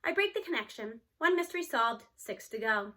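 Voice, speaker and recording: A woman reads a children's novel aloud. Her voice is high and bright, in the style of scripted story narration. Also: sing-song, fairly quick.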